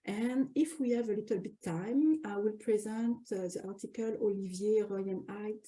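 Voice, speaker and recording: A woman with a French accent hosting a webinar on a video call, halting often as she introduces the program. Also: medium pitch, dark tone. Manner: many fillers and restarts, halting English. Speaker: webinar host and co-editor. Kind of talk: hesitant welcoming introduction.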